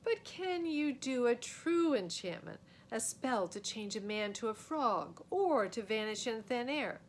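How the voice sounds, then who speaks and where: A woman acts out a crusty old court wizard from a storybook, a haughty killjoy. He speaks in a raised pitch with formal, archaic challenges. Noise rumbles clearly beneath. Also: measured pace. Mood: challenging. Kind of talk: storybook character voice. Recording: steady noise with low rumble.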